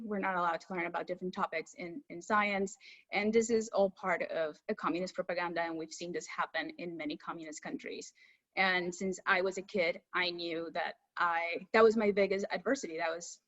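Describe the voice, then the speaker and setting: Lower-pitched woman from Cuba, candid guest. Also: fairly bright tone, muffled recording. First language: Spanish. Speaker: astrophysicist guest. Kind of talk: candid conversational interview answers.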